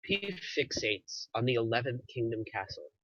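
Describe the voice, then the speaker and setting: Male narrator for a screenplay table read, medium pitch, bright edge, slightly dull recording. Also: very animated, normal speed, no room sound. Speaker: narrator reading stage directions.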